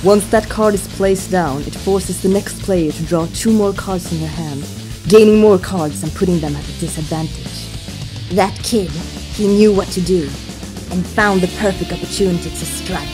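Woman doing an earnest anime onlooker, dramatic explainer, lowish lively voice, music behind. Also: close to an everyday voice. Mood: awed intrigue. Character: dramatic anime onlooker explaining card-game tactics in awe. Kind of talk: amateur anime-style comic dub.

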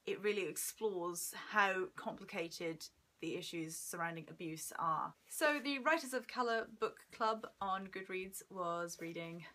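Bright-voiced young woman chatting about books. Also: fairly low pitch, unhurried, hardly any pauses. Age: 20s. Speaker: book vlogger. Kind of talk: casual chat to camera, book review. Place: small room at home by a window.

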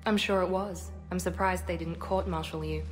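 A woman playing a guarded operative in a video game, cool, measured and controlled in her delivery. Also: on the low side, fairly even intonation, faint music behind, natural voice. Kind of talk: cinematic video game dialogue.

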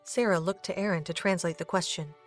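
A woman's voice: lowish voice, steady tone, brisk, soft background music. A woman reading scripted narration for an audio drama, as a storyteller.

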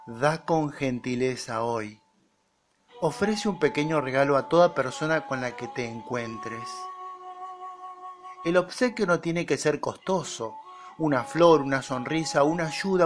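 A man with a mid-range voice guides a meditation very slowly. He speaks in short, deliberate phrases and leaves pauses between them. Music plays clearly behind his voice. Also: mid-range voice. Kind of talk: slow guided meditation narration.

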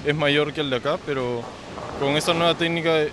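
Young male athlete, Peruvian accent, halting delivery, a bit high, clear background noise. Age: young adult. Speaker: bowling athlete. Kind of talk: on-camera interview. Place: large indoor bowling alley. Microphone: handheld interview microphone. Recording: noisy bowling alley background.

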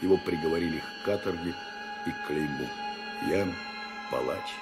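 An actor playing a vengeful, grieving executioner, speaking in a solemn, halting, weighty way in a radio drama. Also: male voice, low-pitched, over loud music. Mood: grim, sorrowful. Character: vengeful, grim executioner mourning his brother. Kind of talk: 1990s Russian radio drama acting.

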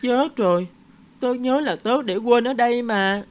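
A woman voicing a superstitious young schoolboy in a cartoon, plaintive and expressive. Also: fairly high pitch, a little slow, heavy background noise, lightly acted. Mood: worried. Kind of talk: scripted cartoon dialogue. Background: background music.

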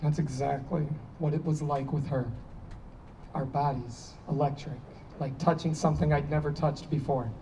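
A man's voice: on the high side, fairly even intonation, normal speed, dark tone, lightly acted. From some distance on an outdoor stage comes an actor as a troubled man who drinks, rambling and defensive. He is in his thirties, reading a stage play live with faint noise around him. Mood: intense, reflective. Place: outdoor stage against a building wall.

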